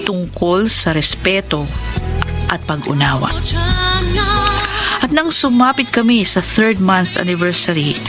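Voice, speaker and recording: A woman's voice: lowish voice, expressive, unhurried, pauses often. A Filipino woman acting in a radio drama as a devoted, hopeful wife, speaking earnestly and tenderly. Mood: earnest, reflective. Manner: earnest, tender, sentimental delivery. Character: devoted, hopeful wife living with HIV, caring for her husband. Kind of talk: Filipino radio drama acting and first-person letter narration. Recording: radio drama with music underneath.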